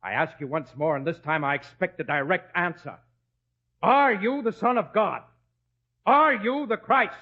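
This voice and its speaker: A man playing a scheming, zealous high priest in a biblical radio drama, speaking in a formal, insistent, declamatory way. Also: moderate pace. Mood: accusing, outraged. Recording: dull, lo-fi radio drama recording.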